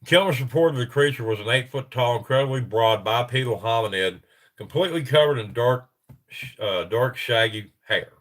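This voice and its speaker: A man's voice: mid-range voice, normal speed, poor audio. Stuffed-up middle-aged guy hosting a livestream, rambly, chuckles a lot, keeps restarting sentences. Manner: rambling, chuckling, self-interrupting. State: congested, stuffy nose. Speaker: livestream host. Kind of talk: casual livestream chat, reading reports aloud.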